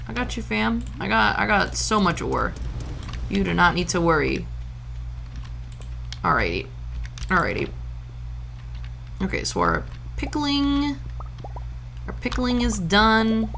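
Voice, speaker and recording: A woman's voice: medium pitch, big pitch swings, slow, vocal fry, pauses often, a bit bright, a little hiss or hum, poor audio. A woman from Brazil streaming a farming game, chatting casually and playfully, humming nonsense sound effects and tossing out jokey mock-old-fashioned lines. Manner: jokey mock-archaic asides.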